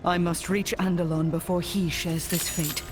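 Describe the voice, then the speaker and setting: A woman playing a resolute necromancer hero in a video game, speaking in a measured, solemn, determined way. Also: fairly low pitch, level tone, natural voice. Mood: grim resolve. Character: resolute mortal necromancer hero, grimly unimpressed. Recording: voice under loud music.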